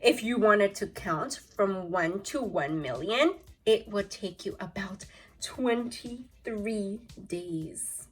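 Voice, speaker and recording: A woman with an American accent teaching a read-aloud lesson to young children, expressive and speaking straight to her pupils. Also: mid-range voice, slow pace, faint music behind, low-quality recording. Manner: animated, expressive, addressing pupils directly. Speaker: elementary school teacher.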